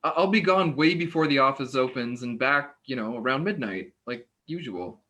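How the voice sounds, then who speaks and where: An actor playing an awkward, polite young hospital worker who hesitates and stammers in a naturalistic play reading. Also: male voice, mid-range voice, animated, normal speed, pushed and bright. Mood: uneasy, evasive. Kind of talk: naturalistic stage dialogue reading.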